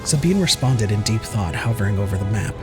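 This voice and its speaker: Low-voiced male audiobook narrator over music. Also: lively intonation, brisk. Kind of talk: scripted dramatic narration.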